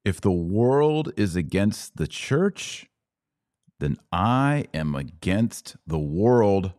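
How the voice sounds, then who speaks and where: A man's voice: fairly low pitch, very animated, very slow, a little mellow, a faint crowd. A man hosting a podcast, commenting emphatically on clips he plays and stressing his points with rising force. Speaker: podcast host.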